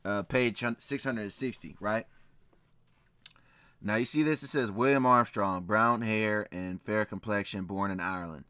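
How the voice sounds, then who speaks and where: Man reading census records out loud, bright tone, dry close sound, keeps saying "right?". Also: on the low side, fairly even intonation, measured pace, clear, resonant tone, no echo. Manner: emphatic, repeats key phrases, asks "right?". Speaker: channel host reacting to a video. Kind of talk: casual commentary, reading documents aloud.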